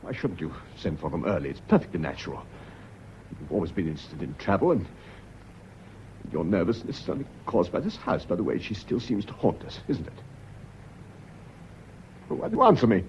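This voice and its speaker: An actor with a British accent playing a tormented, suspicious widower in a radio drama, stammering, troubled and insistent. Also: male voice, lowish voice, sing-song, a little fast, long pauses. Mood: suspicious, desperate. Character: Gregory, tormented, suspicious widower in love with Elizabeth. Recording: dull lo-fi radio recording, faint noise.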